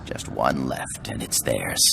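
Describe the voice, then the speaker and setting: Bitter outlaw leader, gruff, gravelly, extremely deep, slow, swinging intonation, long reverb. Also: male voice, lively intonation, background noise, heavily acted. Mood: angry. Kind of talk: video game dialogue. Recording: cavernous reverb, music behind.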